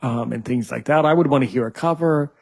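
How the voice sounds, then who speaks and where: Casual man hosting a podcast over a video call, mid-pitched voice, ribbing and joking his guest, trips over his words and keeps saying "you know". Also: a little fast, gravelly, a little mellow, breathy edge. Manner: joking, teasing, many restarts and "you know". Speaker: podcast host.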